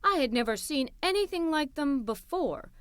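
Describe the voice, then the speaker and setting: A woman's voice: high voice, animated, moderate pace, dry recording, clean studio sound. A woman voicing a friendly, bookish bearded man who loves nature, in a children's storybook. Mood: gentle, friendly.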